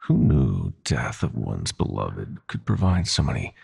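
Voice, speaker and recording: A sadistic nightmare prince with an extremely deep voice full of gravel. He drawls slowly, mock-polite and smug, savoring his taunts. The delivery is theatrical audio-drama villainy, breathy and menacing. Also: big pitch swings, darkish tone, exaggerated voice. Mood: gloating menace. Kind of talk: audio roleplay villain acting.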